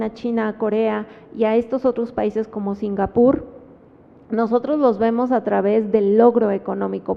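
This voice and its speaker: A woman with a Mexican Spanish accent gives an academic talk at a book presentation, pausing often and adding tag questions. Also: a little high, creaky. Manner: thoughtful, with frequent fillers and tag questions. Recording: phone-like sound with long hall reverb.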